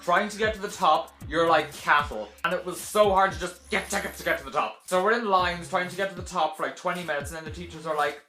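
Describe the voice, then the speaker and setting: A young man telling a casual vlog story to camera in a dramatic, animated way, with sarcastic, self-deprecating asides. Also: sits high, fast, hardly any pauses, soft background music. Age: young adult. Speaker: video blogger telling a personal story. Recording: roomy echo.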